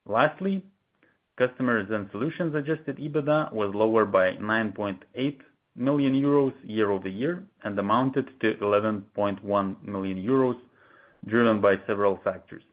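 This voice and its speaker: Over a narrow, phone-like conference line, a man with a fairly low voice delivers a scripted earnings presentation. He sounds like a company executive reading prepared remarks, formal and measured.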